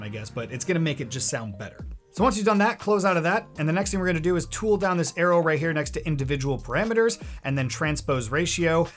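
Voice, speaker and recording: A man hosting a video editing tutorial in a casual, chatty, jokey and informal way. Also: medium pitch. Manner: informal, jokey, addresses viewers as guys. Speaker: tutorial host.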